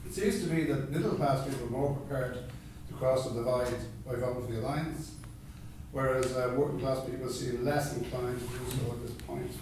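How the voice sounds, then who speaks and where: A man's voice: medium pitch, brisk, a little mellow, a little hiss or hum. A man speaking on a public panel, earnest and thinking aloud with frequent uhs and you-knows. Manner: earnest, reasoning aloud with fillers. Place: large meeting hall. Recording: echoey hall, distant mic.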